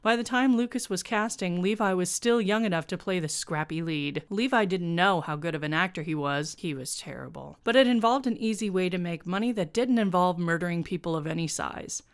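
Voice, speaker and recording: A woman with a clear, smooth voice pitched on the lower side reads her own comic fiction aloud. Her delivery is sardonic and snarky, like an author presenting to viewers. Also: brisk, few pauses. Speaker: fan fiction writer and show presenter.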